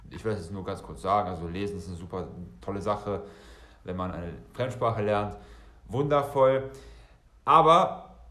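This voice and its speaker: A man's voice: on the low side, fairly bright tone, reverberant. A bilingual German and Serbian man hosting a solo podcast, talking conversationally and directly to listeners, often rephrasing as he goes. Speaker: podcast host.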